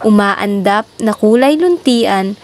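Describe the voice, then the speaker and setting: A person reading a children's story aloud in a measured, deliberate storytelling manner. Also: medium pitch, animated.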